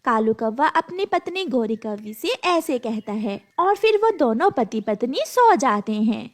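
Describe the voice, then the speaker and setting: A woman's voice: fairly high pitch, very animated, unhurried. A woman narrating a scripted cartoon story for children.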